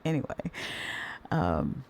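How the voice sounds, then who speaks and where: Deep hoarse woman chatting slowly. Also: big pitch swings, a little mellow, dull audio. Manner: chatty, addresses viewers as darlings. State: hoarse from the flu. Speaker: commentary channel host. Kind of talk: casual commentary chat.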